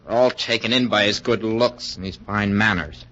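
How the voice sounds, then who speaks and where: A man's voice: lowish voice, normal speed, bright, forceful tone. An actor playing an earnest, suspicious suitor in a 1940s radio thriller, urgent and insistent in his delivery. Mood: wary, insistent. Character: suspicious, jealous, earnest male suitor warning of danger. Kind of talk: 1940s radio suspense acting. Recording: muffled 1940s radio recording.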